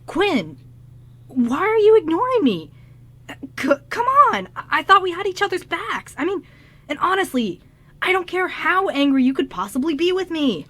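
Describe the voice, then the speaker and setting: A woman's voice: very high voice, big pitch swings, a bit bright, heavily acted. A woman playing an anxious, confused young man in an amateur radio drama, stammering and pleading. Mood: distressed, pleading. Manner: stammering, halting.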